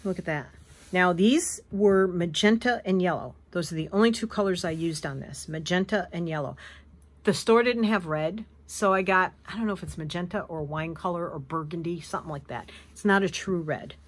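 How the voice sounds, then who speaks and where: A woman hosting a craft video, hoarse and wheezy from allergies, chatting casually as she shows fabric at a table. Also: on the low side, moderate pace. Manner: chatty, rambling, self-correcting. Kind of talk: casual show-and-tell chat. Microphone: camera above a tabletop, speaker off frame.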